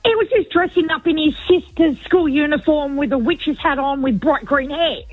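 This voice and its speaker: A woman's voice: high voice, moderate pace. A middle-aged woman, calling a radio show and talking candidly in a chatty way. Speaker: caller. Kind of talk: radio call-in chat. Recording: tinny phone line.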